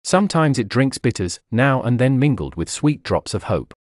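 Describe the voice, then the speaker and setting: A man's voice: medium pitch, sing-song, normal speed, dry recording, studio quality. A man reading an old sermon aloud in an emphatic, declamatory preaching manner. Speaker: narrator reading a historical sermon. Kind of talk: scripted sermon reading, oratorical.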